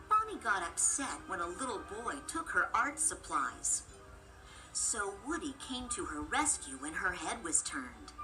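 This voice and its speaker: A woman narrating a children's story as an expressive scripted read-aloud. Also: medium pitch, low-quality recording.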